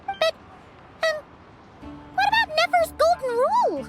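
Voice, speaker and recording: A woman voicing a small, childlike, curious fairy companion with a squeaky voice in a video game. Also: sing-song, a little slow, heavily acted. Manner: talks of self in third person. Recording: music behind the voice.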